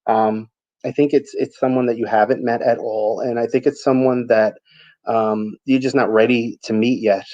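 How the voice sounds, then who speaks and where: A man's voice: medium pitch, fairly even intonation, moderate pace, warm tone, lo-fi sound. A man from New York with a New York accent, hosting a live psychic reading show, talking earnestly with frequent ums and restarts. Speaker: psychic reader, show host. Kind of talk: live-stream reading and chat with viewers.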